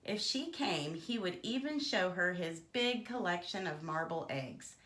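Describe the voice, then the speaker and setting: Animated woman reading a children's story. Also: fairly low pitch, unhurried, reverberant. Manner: theatrical, asides to young listeners. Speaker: storybook reader. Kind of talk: expressive children's story read-aloud.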